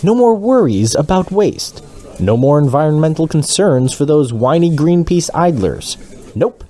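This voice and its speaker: A man's voice: fairly high pitch, sing-song, measured pace, warm tone, soft background music. A man playing a smug, flippant host in scripted comedy banter, glib and dismissive. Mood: gloating.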